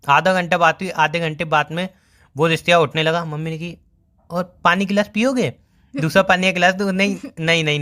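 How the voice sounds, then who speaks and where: A man's voice: fairly high pitch, pushed and bright. A young man playing a bantering, evasive boyfriend who explains himself casually in a phone-call skit. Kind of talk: casual scripted couple phone call in Hindi.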